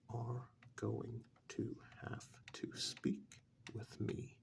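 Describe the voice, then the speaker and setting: A man playing a mild, officious tax auditor in a soft-spoken ASMR role-play, with breathy, hesitant, politely bureaucratic delivery. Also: medium pitch, exaggerated voice. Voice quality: breathy, whispery, creaky.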